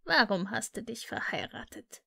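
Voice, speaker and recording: A woman's voice: fairly high pitch, wildly swooping pitch, moderate pace, gravelly, sharp tone, breathy edge, heavily acted. Scrooge, a woman voicing a grumpy old miser. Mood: scornful. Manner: curt, scornful grumbling.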